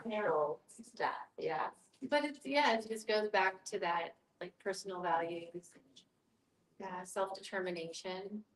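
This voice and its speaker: A woman with a slightly low voice speaks reflectively in a group discussion. The sound is echoey, from a live room.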